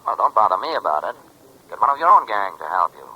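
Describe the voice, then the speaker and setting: An actor playing a hard-boiled, double-dealing crime boss who talks curtly and in slang in a 1940s radio drama. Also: male voice, fairly low pitch, very animated, fast, slightly rough, highs cut off. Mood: gruff, dismissive. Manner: curt, slangy. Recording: vintage 1940s radio recording.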